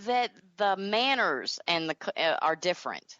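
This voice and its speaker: Low-voiced woman, slightly muffled. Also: very animated, moderate pace, a bit bright, no echo. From Southern United States. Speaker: podcast guest, author and audio drama creator. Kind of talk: casual interview chat.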